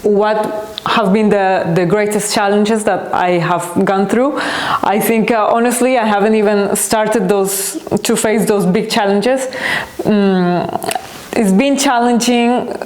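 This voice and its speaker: A woman speaks in a middle-pitched voice, earnest and reflective, pausing now and then to find the right word. Her talk has the loose flow of a podcast host answering listener questions. The room around her leaves a clear, roomy echo. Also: fairly even intonation, darkish tone. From Colombia. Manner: earnest and reflective, searching for words. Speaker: podcast host and company founder.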